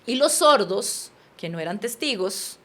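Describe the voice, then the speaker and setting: A woman in her thirties with a Costa Rican accent, a podcast guest telling her life story in an earnest, animated way. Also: medium pitch, a little slow. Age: 30s. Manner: earnest, animated, addresses listener as usted. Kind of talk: personal storytelling in conversation.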